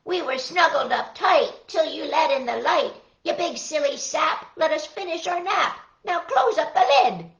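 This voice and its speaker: A woman voicing grumpy, sleepy little sardines with a squeaky voice in a children's poem read-aloud. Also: animated, a little slow, creaky, few pauses, a bit bright, heavily acted. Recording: roomy echo, slightly dull sound.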